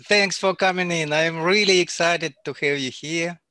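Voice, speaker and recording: A man hosting a casual interview, halting and searching for words. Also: on the high side, sharp tone, dry recording. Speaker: host.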